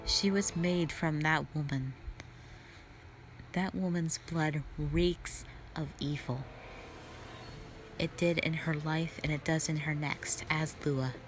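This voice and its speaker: A woman playing a wistful, scheming yet playful witch sister in an audio roleplay, speaking softly and reflectively to the listener. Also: low voice, steady tone, slow, long pauses, slight background noise. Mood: grave, worried.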